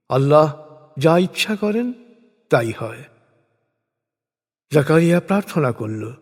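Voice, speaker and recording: A man narrates sacred text in a slightly raised register. His delivery is slow and reverent, with deliberate pauses between phrases. The voice rings out in a long, hall-like reverb. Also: a little high, darkish tone. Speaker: narrator of a scripture translation. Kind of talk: solemn scripted religious recitation in prose.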